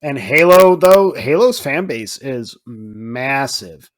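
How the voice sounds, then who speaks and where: Male podcast co-host, conversational and casual, speaks with animated emphasis. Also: mid-range voice, slow. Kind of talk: casual film discussion.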